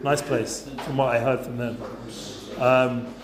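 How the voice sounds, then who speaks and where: A man's voice: mid-range voice, steady tone, takes it slowly, crowd noise. A man, talking casually to a youth group with lots of fillers and asides. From North London, England. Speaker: guest speaker for students. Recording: roomy echoey room.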